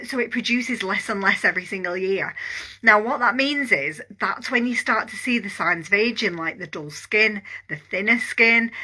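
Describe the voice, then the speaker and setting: A woman in her forties, stuffy with a cold and sore throat, enthusiastically pitching a skincare product in a casual vlog. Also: pushed and bright, poor audio. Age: 40s. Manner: chatty, enthusiastic, rambling with fillers. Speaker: online business coach.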